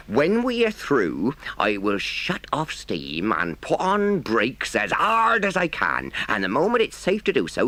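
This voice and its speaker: Gruff but kind old train driver from a storybook, read by a man, firm and homely, lively pitch. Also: no echo, full character voice. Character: kindly gruff older male engine driver.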